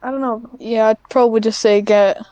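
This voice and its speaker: A woman talks casually as an interview guest in a smooth, crisp voice recorded very dry. She is hesitant and self-deprecating, feeling her way through answers with a giggly streak. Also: on the high side, fairly even intonation, fairly quick, warm tone, no echo, lo-fi sound. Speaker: podcast guest, scriptwriter.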